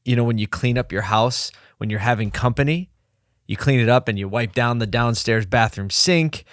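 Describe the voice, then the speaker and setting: A man hosting a solo podcast from his office desk, slightly hoarse and low on energy, talking in a rambling, candid way and pepping himself up as he goes. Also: moderate pace, a bit bright, no echo, studio quality. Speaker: podcast host. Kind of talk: casual solo podcast talk.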